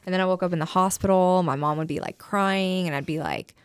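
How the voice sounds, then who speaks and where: Podcast guest, woman in her 30s, candid and rambling, low-pitched with a smooth, dry sound. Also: a little fast, dry recording. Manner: frank, rambling, heavy use of filler "like". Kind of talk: candid personal storytelling.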